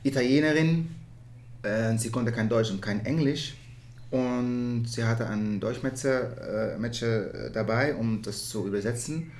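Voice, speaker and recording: A man's voice: mid-range voice, measured pace, a little mellow, a little hiss or hum. Dutch-accented man, searching for words. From Netherlands. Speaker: interview guest, musical theatre performer. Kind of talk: reflective conversational interview.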